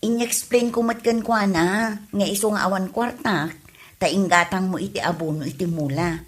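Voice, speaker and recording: A woman's voice: mid-range voice, fairly even intonation, moderate pace, close to an everyday voice. A woman with a Filipino accent acting an apologetic, exasperated farmer's wife in a podcast comedy drama. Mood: aggrieved.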